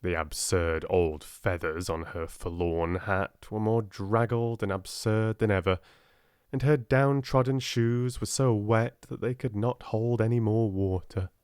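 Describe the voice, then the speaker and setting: A man with a British accent reading a storybook aloud in a soft-spoken, gentle, measured way. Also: on the low side, steady tone, clear, resonant tone, no room sound, studio quality. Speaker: audiobook narrator.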